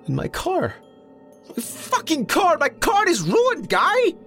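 A sulky young dude babbles in a very high, whiny voice. He sounds shocked and aggrieved, a slacker in distress, with music behind him. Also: huge pitch swings, slow, fairly bright tone, exaggerated voice. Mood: sulky, distraught. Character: whiny, shocked young dude sulking over his car.

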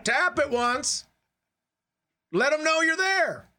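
A man voicing a rowdy race spectator hollering advice in drawn-out shouts on a comedy podcast. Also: very high voice, lively intonation, pitched above the performer's own voice, exaggerated voice. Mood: rowdy cheering.

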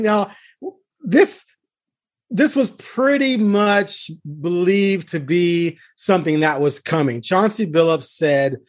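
A man with a fairly high voice hosts a sports podcast in a casual, conversational way. He talks like a beat reporter chatting with a guest. The sound is dry, with little room to it. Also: darkish tone, no echo.

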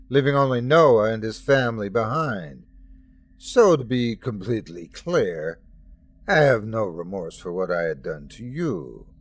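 A man voicing a wrathful, remorseless almighty male god who speaks in slow, emphatic, commanding declarations. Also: mid-range voice, no echo.

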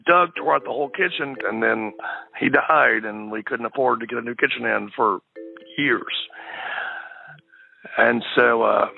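A young man with a naturally husky, raspy voice, recalling his family's hardship in an interview, sometimes breaking up with emotion. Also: mid-range voice. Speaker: interviewee, victim's stepson. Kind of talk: recorded interview clip. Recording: tinny phone-line audio.

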